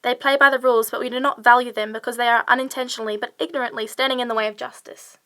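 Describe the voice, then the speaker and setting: A woman's voice: on the high side, fairly even intonation, very fast, bright, forceful tone. A woman podcast host reading a scripted analytical essay about fiction aloud. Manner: reading aloud from a prepared text. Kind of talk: scripted essay narration, film and book analysis.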